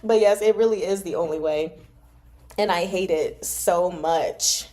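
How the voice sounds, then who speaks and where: A woman's voice: on the low side, measured pace, dark tone. A woman hosting a read-along, narrating a novel expressively and adding casual, chatty commentary. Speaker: host of a live read-along.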